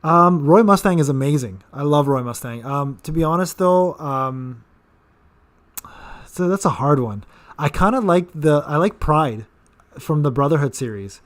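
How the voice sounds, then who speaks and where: Rambling man in his thirties, slightly high voice. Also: lively intonation, a little slow. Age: 30s. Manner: rambling, repeats words, frequent fillers. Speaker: gaming channel host. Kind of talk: casual live-stream Q&A.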